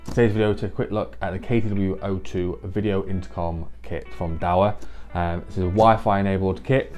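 A man's voice: low voice, darkish tone. A young British man giving an informal, plain-spoken product walkthrough, explaining things step by step in an indoor office. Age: young adult. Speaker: technical manager presenting a product walkthrough. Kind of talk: informal instructional product demonstration. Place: indoor office, seated at a table.

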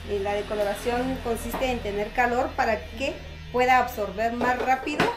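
Young Guatemalan woman barber, gentle explanatory talk, barbershop with music behind. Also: a little high, moderate pace. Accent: Guatemalan Spanish. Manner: soft-spoken, explanatory, with hesitant fillers. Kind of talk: explaining her work while coloring hair. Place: barbershop, indoors.

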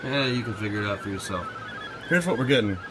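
A man's voice: lowish voice, expressive, quick pace, noisy background. A man thinking aloud informally as he gives a casual home demonstration as a radio hobbyist, sometimes talking aside to a child. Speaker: amateur radio hobbyist filming a video. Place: small room at home, indoors. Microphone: handheld phone or camera, held by speaker. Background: shortwave radio sounds and music.

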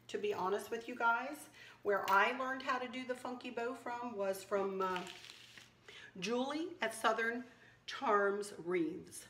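A slightly hoarse woman hosting a casual craft tutorial, chatting and teasing herself as she works. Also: a little high, pushed and bright, echoey room. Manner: chatty, self-teasing, talks while working. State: a little hoarse. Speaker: craft channel host. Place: kitchen counter indoors.

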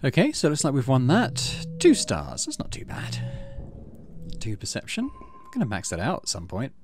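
A man hosting a gaming channel, low-key, casually commenting on play and reading story text aloud. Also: wildly swooping pitch, normal speed, a little hiss or hum.

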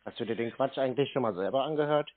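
A man's voice: mid-range voice, rapid-fire. A man arguing informally on a call, blunt, dismissive and repeating himself. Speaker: call recipient challenging phone scammers. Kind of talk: informal argumentative phone talk. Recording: noisy narrow phone-like sound.

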